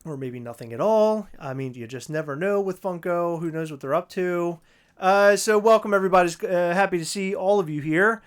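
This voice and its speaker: A podcast host, slightly hoarse, chatting on a livestream and ranting loosely with plenty of fillers and restarts. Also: medium pitch, brisk. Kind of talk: casual livestream chat.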